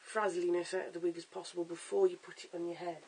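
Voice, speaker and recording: A hoarse, croaky-voiced vlogger giving a casual at-home wig tutorial in a chatty, stop-start way, filmed on a camera's own mic. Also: medium pitch, flat delivery, quick pace, a little mellow, rough recording. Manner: chatty, stop-start with fillers and self-corrections. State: hoarse, lost voice. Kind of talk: casual how-to demonstration.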